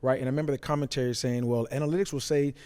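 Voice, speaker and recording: Fast-talking mellow man, casual sports analyst. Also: medium pitch, steady tone, clear, resonant tone. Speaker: former player turned sports show analyst. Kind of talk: casual sports show discussion.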